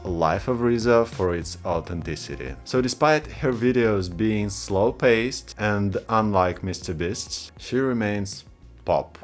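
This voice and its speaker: A man with a Russian accent doing chatty, self-mocking vlog commentary, talking straight to his viewers. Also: lowish voice. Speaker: YouTube creator.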